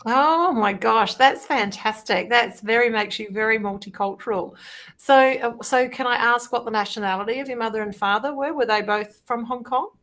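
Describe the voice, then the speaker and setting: A woman's voice: a little high, moderate pace, fairly bright tone. A woman hosting an interview, asking questions in an encouraging, inquisitive way. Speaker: interview host.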